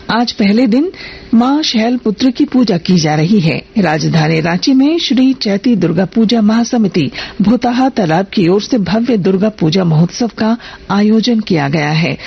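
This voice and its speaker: A woman reading a scripted radio news bulletin in a formal, measured manner. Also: medium pitch, vocal fry, breathy edge, dull audio.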